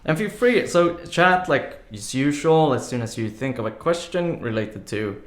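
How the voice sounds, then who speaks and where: A man's voice: on the high side, lively intonation, moderate pace. Rambling man streaming live, echoey room. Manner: rambling, many "like" fillers, laughs easily. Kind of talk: casual live-stream chat. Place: home room.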